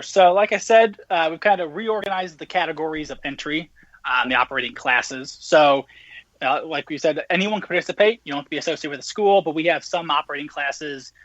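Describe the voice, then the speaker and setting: Young male guest explainer, fairly high bright voice, conversational, over a lo-fi connection. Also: normal speed, no room sound. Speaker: guest, event organizer.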